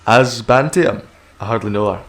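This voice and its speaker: An actor playing a wry, rambling, eccentric time-travelling hero in an audio drama, with a talkative, quippy delivery. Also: male voice, mid-range voice, moderate pace, close to an everyday voice. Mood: sardonic. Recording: echoey, long reverb, music behind.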